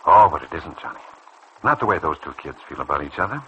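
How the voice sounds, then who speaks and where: A man acting in a vintage radio soap as a calm, earnest doctor who probes and persuades in a measured way. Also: a bass voice, dull audio, exaggerated voice. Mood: persuasive, reassuring. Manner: measured, probing, earnest delivery. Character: calm, earnest, persuasive male doctor counselling a younger colleague. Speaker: radio serial actor. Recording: noisy old radio drama recording.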